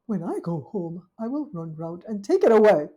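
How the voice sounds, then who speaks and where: A woman's voice: medium pitch, big pitch swings, normal speed, warm tone, lightly acted. A woman voicing a worried, well-mannered female dog in a storybook, talking anxiously to herself. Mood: fretful. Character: fretful proper female dog, anxious and polite.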